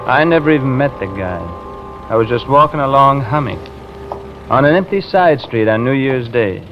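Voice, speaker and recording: Guy acting as edgy 50s radio truck driver, slangy, mid pitch, music under him. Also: animated, moderate pace, lo-fi sound, lightly acted. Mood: wry, uneasy narration. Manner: colloquial, defensive. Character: nervous working-class truck driver, wearily telling his story. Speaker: actor in a radio suspense drama. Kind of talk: 1950s radio drama acting, first-person narration.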